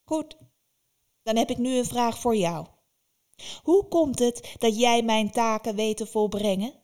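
A woman voicing a fierce, commanding old witch in a spoken fairy tale, loud and stern. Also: a little high, unhurried, lightly acted. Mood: gruff.

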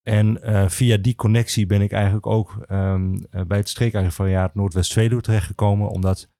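Mellow, low man explaining. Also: flat delivery, normal speed, dry recording, studio quality. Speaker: guest, archivist and historian. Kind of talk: explanatory interview talk.

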